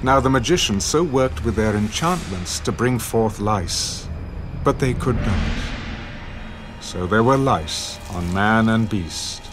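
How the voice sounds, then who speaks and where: A man narrating scripture in a scripted dramatic style, solemn and measured. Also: on the low side, lively intonation. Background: music.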